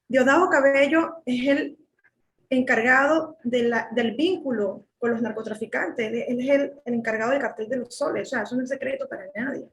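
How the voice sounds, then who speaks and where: A woman's voice: fairly high pitch, reverberant, low-quality recording. A woman from Venezuela with a Venezuelan accent, giving indignant political commentary and repeating words as she gets worked up.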